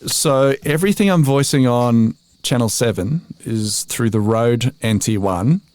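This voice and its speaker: A man's voice: mid-range voice, lively intonation, unhurried, a little mellow, dry recording. An Australian man in his forties, a voiceover artist talking casually as a podcast guest, chatty and self-deprecating with frequent restarts and laughs. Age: 40s. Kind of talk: casual interview chat.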